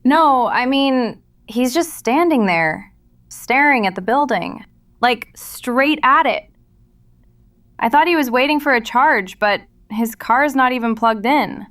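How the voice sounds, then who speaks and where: Audio drama role: sardonic woman clerk, played by an actress, fairly high voice, slightly off-mic, foul-mouthed and blunt. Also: animated, takes it slowly, a bit bright, recorded from a distance. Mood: uneasy. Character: blunt, jaded, foul-mouthed female gas station clerk.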